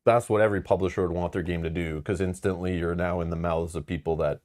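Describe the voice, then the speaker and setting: Man with a very low voice, casual stream host, rambly, frank, lots of fillers. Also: steady tone, fast, a little mellow. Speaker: board game channel host. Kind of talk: casual live-stream chat.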